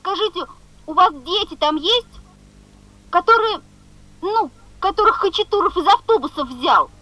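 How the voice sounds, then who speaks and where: Flustered evasive mom in old soviet radio drama, really high bright voice, hesitant and stumbly. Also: female voice, lively intonation, normal speed, no echo, full character voice, low-quality recording. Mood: anxious. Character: evasive, flustered mother phoning about her daughter. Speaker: actress in a radio play.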